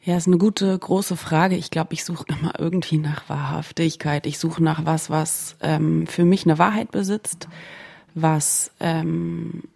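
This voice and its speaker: Creaky, lowish-voiced woman from Germany. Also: fairly even intonation, measured pace, darkish tone. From Berlin, Germany. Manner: thoughtful, searching for words. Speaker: musician being interviewed. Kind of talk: reflective conversational interview.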